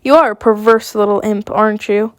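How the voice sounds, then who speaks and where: A woman's voice: medium pitch, fairly even intonation, brisk, dry recording, lightly acted. A sick woman voicing a smug, cruel young knight in an amateur audiobook, with a mocking edge.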